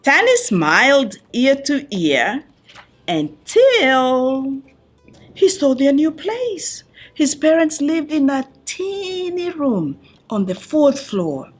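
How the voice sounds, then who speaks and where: A woman reading a picture book aloud to young listeners in an expressive storytelling style, phrasing deliberately with dramatic pauses. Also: sits high, faint music behind. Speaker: children's book reader.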